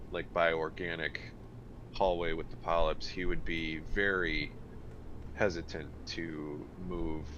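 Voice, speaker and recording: Slow, deep-voiced man thinking aloud. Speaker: tabletop game player. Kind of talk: casual in-game talk. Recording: dull, noisy room recording.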